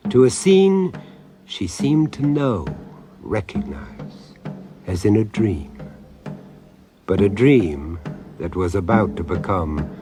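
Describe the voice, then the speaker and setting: A man narrating a radio horror drama in a measured, grave storytelling manner. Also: on the low side, lively intonation, over music.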